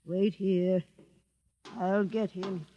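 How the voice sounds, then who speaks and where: A man's voice: sits high, little pitch movement, extremely slow, mellow, a little hiss or hum. A man in a 1950s radio drama playing a guarded, suspicious doorkeeper. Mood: wary. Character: wary male doorkeeper, guarded and pious. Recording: dull old broadcast with long reverb.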